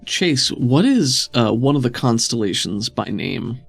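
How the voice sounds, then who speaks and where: A man runs a tabletop game as its game master. His mid-pitched voice has a noticeable creak as he describes scenes conversationally. Music plays behind him. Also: sing-song, unhurried, dry recording. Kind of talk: improvised tabletop game narration.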